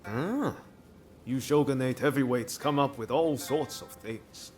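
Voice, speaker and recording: A man's voice: mid-range voice, measured pace, darkish tone, close to an everyday voice. A man plays a confident, easygoing swordsman in a game cutscene. His mid-range voice is breezy and informal, with light music behind it. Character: easygoing, confident swordsman ready for any job. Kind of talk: video game cutscene acting.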